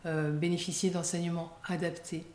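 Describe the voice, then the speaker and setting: A woman explaining something in an interview, with hesitant, halting phrasing. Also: level tone, a little mellow. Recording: echoey room, faint rumbling noise.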